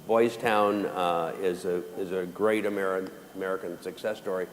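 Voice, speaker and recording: A man moderating a conference panel, speaking haltingly with frequent "uh"s. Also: sits low, fairly even intonation, a little hiss or hum, poor audio. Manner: halting, with many "uh"s. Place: conference hall.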